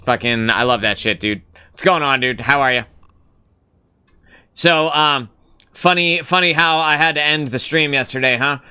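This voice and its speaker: A man's voice: medium pitch, normal speed, pauses often, bright, forceful tone, smooth. A young man hosting a casual live gaming stream, rambling and swearing casually as he greets chat.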